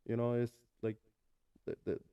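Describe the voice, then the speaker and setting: A man in his early thirties from Mexico with a Mexican Spanish accent, a guest talking casually in an interview with many pauses and restarts. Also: mid-range voice, little pitch movement, clear, resonant tone, dull audio. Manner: hesitant, many fillers and restarts. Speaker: guest, food truck owner.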